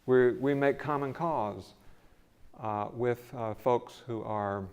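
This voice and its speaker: A man's voice: mid-range voice, slow pace, a little mellow, clear, resonant tone. An older man giving a conversational lecture in a church sanctuary, with a big voice and rising emphasis on key words. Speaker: visiting scholar lecturer. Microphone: podium mic, then away from mic.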